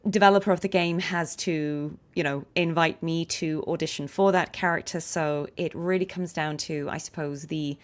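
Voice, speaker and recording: Low-voiced woman chatting on stream. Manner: chatty, thinks aloud, frequent fillers. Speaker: voice actor streaming a game. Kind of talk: casual stream chat.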